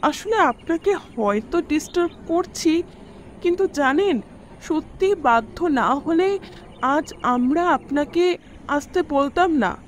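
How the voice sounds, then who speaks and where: A woman's voice: high-pitched, lively intonation, slow pace, slight background noise, rough recording. A woman playing an anxious mother in an audio drama, recounting eerie events in an earnest, dramatic way. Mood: uneasy, apologetic. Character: worried mother recounting eerie deaths around her son. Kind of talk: Bengali audio story acting.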